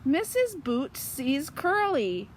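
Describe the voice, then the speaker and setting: A woman with a high voice reads to young children in an expressive, animated way. Her pitch swings widely and she takes her time, explaining things simply. There is faint noise behind her. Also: takes it slowly. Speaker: children's story reader. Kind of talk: animated read-aloud of a children's picture book.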